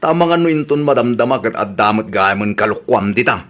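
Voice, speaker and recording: A man's voice: fairly low pitch, fairly even intonation, a little fast, a bit bright, natural voice. A man acting a nosy, teasing husband with a questioning, needling manner, in an audio comedy drama.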